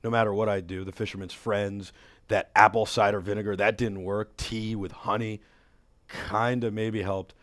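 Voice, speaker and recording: A man in his thirties hosting a talk show in a studio, hoarse from a cold, talking with upbeat energy into a headset mic. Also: on the low side, normal speed. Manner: energetic, enthusiastic promo patter. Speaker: host. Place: studio with city backdrop.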